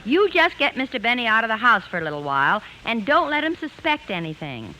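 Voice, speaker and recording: A woman playing a brisk, organizing lady friend in a 1950s radio comedy. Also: fairly high pitch, sing-song, pushed and bright, low-quality recording. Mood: brisk, emphatic. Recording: hissy old radio recording.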